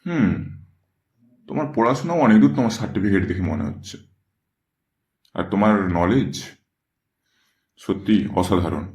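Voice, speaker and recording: A man voicing a formal company boss in a horror cartoon, speaking in a measured way. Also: fairly low pitch, lively intonation, a little mellow, lightly acted. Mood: politely apologetic. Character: formal company boss turning away a job applicant. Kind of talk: Bengali horror cartoon voice acting. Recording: echoey room sound.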